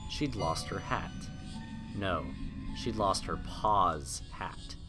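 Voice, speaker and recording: A man reading a horror story as a scripted dramatic narration, solemn and suspenseful, drawing out key phrases. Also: lowish voice. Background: music.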